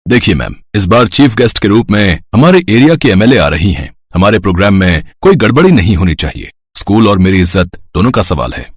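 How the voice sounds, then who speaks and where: A man playing a stern, middle-aged school principal in a story, husky, officious and admonishing. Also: on the low side, sing-song, a little fast, hardly any pauses, dry recording, clean studio sound.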